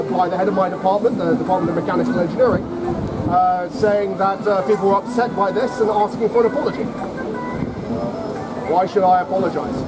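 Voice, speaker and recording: A man from Hong Kong giving a personal account to a gathering, in a halting, measured way. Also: high-pitched. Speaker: guest speaker, honorary lecturer. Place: outdoors in an urban public space. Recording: very noisy outdoor street with traffic.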